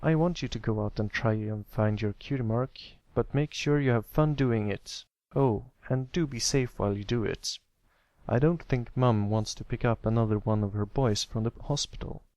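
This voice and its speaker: A kindly older brother pony, voiced by a man reading aloud, talks in a medium-pitched, relaxed voice. He is encouraging and easygoing, with a dry, close sound. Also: normal speed, no echo, muffled recording, natural voice. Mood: reassuring.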